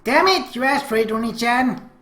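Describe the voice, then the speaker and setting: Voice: medium pitch, expressive, moderate pace, fairly bright tone, roomy sound, pitched above the performer's own voice, natural voice. A performer voicing a pushy, clingy teenage little sister from an anime visual novel, with whiny, demanding baby talk. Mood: indignant, demanding.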